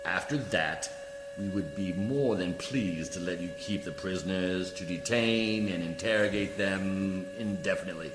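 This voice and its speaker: A man's voice: low-pitched, moderate pace, lightly acted. A man acting a coolly formal, condescending alien judicial official in a science fiction radio play, speaking with precise diction. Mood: smooth bargaining. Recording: echoey hall reverb, music behind.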